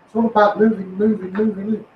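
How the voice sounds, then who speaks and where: A high-voiced man with a Scouse accent declaims through a megaphone in an echoey, noisy recording. He speaks as a campaigner, hammering phrases home by repeating them emphatically. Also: level tone, fast talker, gravelly, recorded from a distance, dull audio. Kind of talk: impassioned monologue rant.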